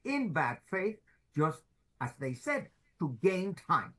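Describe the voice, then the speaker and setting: Older guy in his 70s, slow halting talker, voice swings up and down, video call. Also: a little high, sing-song, sharp tone. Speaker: professor, interview guest. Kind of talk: emphatic conversational interview answers. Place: book-filled home office.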